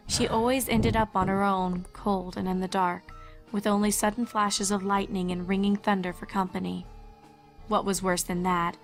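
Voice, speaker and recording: Woman narrating a story over soft music. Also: mid-range voice.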